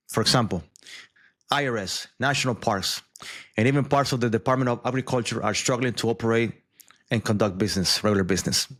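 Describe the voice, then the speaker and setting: Man giving casual news commentary. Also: medium pitch. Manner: conversational, with asides and repeated words.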